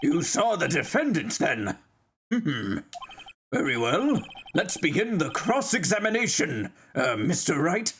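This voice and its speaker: A man's voice: fairly high pitch, big pitch swings, measured pace, a bit bright, a little hiss or hum, exaggerated voice. A man voicing a bumbling, easily distracted courtroom judge in a game, stammering between mock formality and grumbling asides. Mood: musing. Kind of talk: playful amateur voice-over of a courtroom game.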